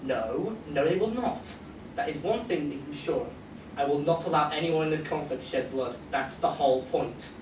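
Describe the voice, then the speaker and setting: A confident, scheming pony doctor of firm principle, read by a man, measured and assertive in a radio play. The voice is highish and distant, in a noisy echoing room. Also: animated, lightly acted. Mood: resolute. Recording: echoey convention room, distant noisy mic.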